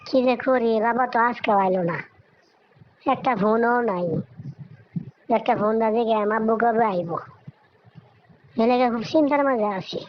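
A woman's voice: fairly high pitch, normal speed, pauses often, natural voice. A woman playing a poor, caring older sister, weary and lamenting in a cartoon. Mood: worried, lamenting. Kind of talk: Bengali moral story cartoon dialogue. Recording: dull, noisy lo-fi recording.

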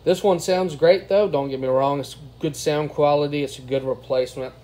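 A man's voice: a little high, fairly even intonation, normal speed, dark tone. A man giving a casual hands-on product review in an informal, plain-spoken way. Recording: clear background noise, room ambience.

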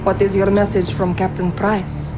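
A young actress playing a guarded, steely rebel commander. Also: female voice, medium pitch, level tone, normal speed, over music, close to an everyday voice. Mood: guarded, firm.

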